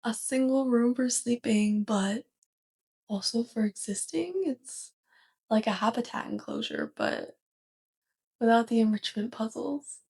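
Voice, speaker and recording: A woman playing a curious, polite, awkward alien roommate in an ASMR roleplay, with soft, stilted, over-formal and hesitant speech. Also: fairly high pitch, creaky, husky, full character voice. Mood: curious, fascinated.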